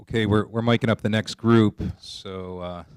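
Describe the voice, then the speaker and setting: A man informally hosting a live event on microphone, improvising in a halting way between segments. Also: on the low side, fairly even intonation, a little mellow, clean tone, no echo, clean studio sound. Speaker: event presenter filling time between groups. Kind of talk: informal announcements to an audience. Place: college library event, on microphone.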